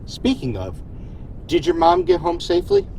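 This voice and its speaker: A man's voice: high-pitched, huge pitch swings, moderate pace, vocal fry, dark tone, slight background noise, full character voice, low-quality recording. A man playing an earnest, reflective starship captain in a science fiction audio drama. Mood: warm, friendly.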